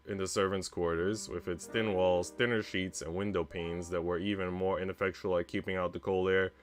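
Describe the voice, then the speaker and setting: Low-voiced man narrating over music. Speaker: streamer reading a visual novel aloud. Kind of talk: storybook narration with casual chat asides. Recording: music behind the voice. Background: background music.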